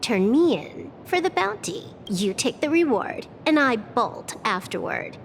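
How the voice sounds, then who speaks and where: A woman's voice: high voice, very animated, measured pace, slight background noise, heavily acted. A woman voicing a sly, playful young female con artist in a video game, teasing and nonchalant. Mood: teasing, bold. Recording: game music underneath.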